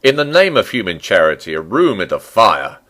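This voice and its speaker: Guy doing a mysterious curt stranger from an old storybook, bossy and emphatic, lowish voice. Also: big pitch swings, normal speed, bright, forceful tone, exaggerated voice. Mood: urgent, demanding. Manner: terse, emphatic, commanding. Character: curt, secretive stranger, a man muffled against cold. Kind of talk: dramatic audiobook character voice.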